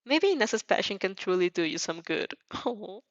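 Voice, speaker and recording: A woman narrates a fan fiction story in a casual, giggly way, dropping in asides and stumbling over words. Her voice sits at an ordinary female pitch. The recording is dry and a little dull. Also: very animated, moderate pace, no room sound.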